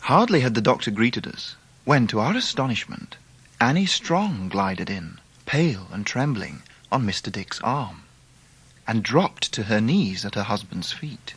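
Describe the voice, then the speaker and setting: A man's voice: on the low side, very animated, unhurried, a bit bright, husky, exaggerated voice. An actor narrating a period radio drama as a grown man earnestly recounting his past. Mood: hushed suspense.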